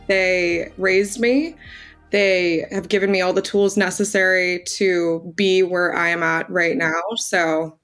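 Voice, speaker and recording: A woman's voice: fairly low pitch, steady tone, slow pace, fairly bright tone, faint music behind. A woman in her twenties whose first language is Armenian, answering interview questions candidly as a young lawyer. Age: 20s. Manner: candid, earnest, with fillers. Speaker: guest lawyer.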